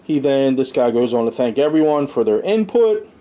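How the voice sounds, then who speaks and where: A man's voice: medium pitch, big pitch swings, normal speed, mellow, dull audio. A man hosting a review show, casually reading out lists and commenting on them in an informal way. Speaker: host of a wrestling review show.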